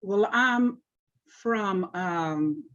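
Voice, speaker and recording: A woman in her seventies, originally from Oklahoma, answering interview questions about her life in an unhurried way with frequent pauses. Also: medium pitch, lively intonation, fairly bright tone, highs cut off. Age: 70s. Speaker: interview guest, artist.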